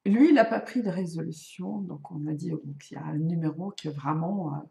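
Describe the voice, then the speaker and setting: A middle-aged woman with a mellow, gravelly voice who is not a native French speaker. She chats to her viewers in a relaxed, self-mocking way, often pausing to hesitate. Her voice carries the echo of the room around her. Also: sits low. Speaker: knitting and crochet vlogger. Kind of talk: casual chat to camera.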